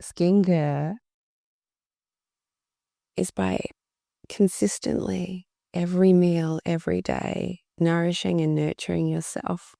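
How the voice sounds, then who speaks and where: Woman podcast host, voice deep and worn from overuse, slow and dark, reflective delivery. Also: fairly even intonation, no room sound, clean studio sound. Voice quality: deep, gravelly. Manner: slow, reflective, repeats words for emphasis. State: voice worn out from talking a lot. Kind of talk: solo podcast monologue.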